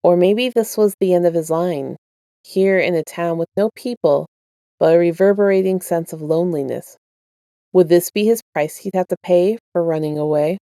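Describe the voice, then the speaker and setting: A woman's voice: lowish voice, moderate pace, mellow, clean tone, no echo. A woman narrating her own written fiction as an amateur audio story reader. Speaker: podfic writer and narrator. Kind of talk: casual intro and scripted story narration.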